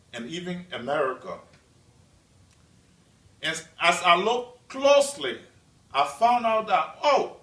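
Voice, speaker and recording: A man from Liberia giving a prepared class speech as a student, in a slow, measured delivery with deliberate pauses. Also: high-pitched, a bit bright. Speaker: student presenter. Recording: echoey room, mic at a distance.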